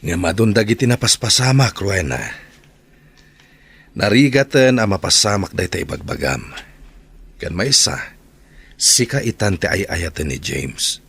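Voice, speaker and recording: A man's deep voice with an Ilocano Filipino accent , acted for a radio-style drama. He plays a loyal friend giving earnest, serious advice. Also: lively intonation, measured pace, long pauses, a bit bright, slightly rough, full character voice. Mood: grave, concerned. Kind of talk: Ilocano audio drama acting.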